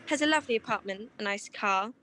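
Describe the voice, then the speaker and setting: A woman's voice: mid-range voice, fast, sharp tone, natural voice. A woman playing an anxious, proper married client, hesitant and flustered, in a 1940s-style detective radio play. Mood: uneasy. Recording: faint noise, home video-call recording.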